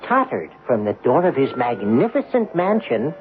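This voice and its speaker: An actor voicing a talking dime that tells its own story in theatrical, deliberate radio narration with dramatic pauses. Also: male voice, mid-range voice, huge pitch swings. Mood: storytelling. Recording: lo-fi radio broadcast with music.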